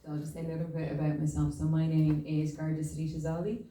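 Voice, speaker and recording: A woman police officer from Albania speaking informally at an event, friendly and halting with many fillers. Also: low voice, monotone, mellow, slight background noise. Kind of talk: informal talk at community event. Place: large indoor hall. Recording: echoey hall from far off.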